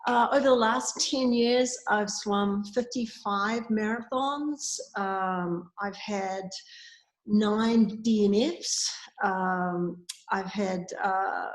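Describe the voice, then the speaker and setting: A woman's voice: mid-range voice, extremely slow. New woman on an echoey video call. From New Zealand. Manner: chatty, frequent ums and uhs. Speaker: interviewee, swim coach. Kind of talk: casual interview answers. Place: at a swimming facility. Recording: echoey room sound.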